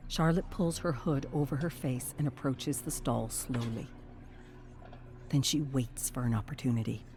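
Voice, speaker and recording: A narrator reading a scripted story in a dramatic, measured and suspenseful manner. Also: mid-range voice, fairly even intonation, a little mellow.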